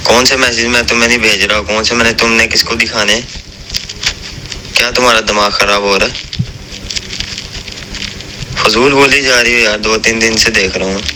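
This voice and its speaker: Low, stern man scolding on noisy call. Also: steady tone, brisk. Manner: stern, irritated. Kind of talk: scolding in a phone argument. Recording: noisy recorded phone call.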